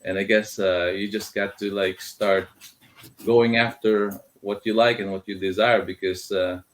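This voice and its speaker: Man, middle-aged, from the Philippines, very low voice, halting, many fillers, interview guest. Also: steady tone. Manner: hesitant, many fillers, mixes in Tagalog. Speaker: guest, filmmaker. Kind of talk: casual interview talk.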